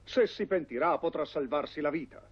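A cold cartoon tyrant king, pompous and commanding. His voice is husky and rather high for a male, on a dull old soundtrack. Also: a little fast. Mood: menacing. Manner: imperious, pompous.

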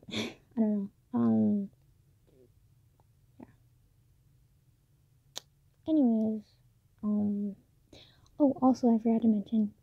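A teenage woman talking softly late at night in her bedroom, halting with many fillers, recorded on a built-in mic. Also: a little high, fairly even intonation, vocal fry, husky. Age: late teens. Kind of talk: late-night personal vlog. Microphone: phone or camera built-in mic, close. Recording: dull lo-fi built-in mic, dry.

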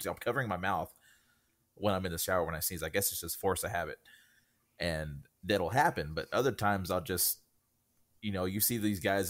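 A man's voice: low voice, a bit bright. A man in his thirties co-hosting a casual podcast, telling stories in a wry way. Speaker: podcast co-host. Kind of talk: casual chat.

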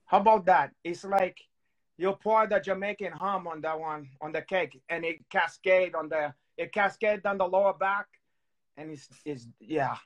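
Co-host, man in his thirties, high voice, playful rambling banter, on a video call. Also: normal speed. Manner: playful, teasing, rambling with many restarts. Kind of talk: joking casual chat. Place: home room with candlelight.

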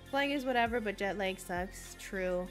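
A woman streaming a farming video game, chatting casually with viewers, giggling often and reading game lines aloud. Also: medium pitch.

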